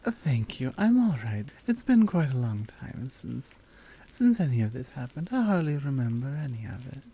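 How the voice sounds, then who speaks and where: A woman playing a strong, tender lioness in an intimate ASMR roleplay, speaking softly and earnestly with deliberate, halting phrasing. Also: very deep voice, husky, exaggerated voice. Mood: somber, guarded.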